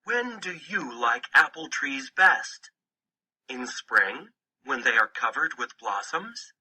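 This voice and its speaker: Guy reading a kids picture book slow n deliberate, really sharp bright voice, animated pitch. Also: slightly rough. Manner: deliberate, storytelling phrasing in short sentences. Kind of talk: scripted children's picture book narration.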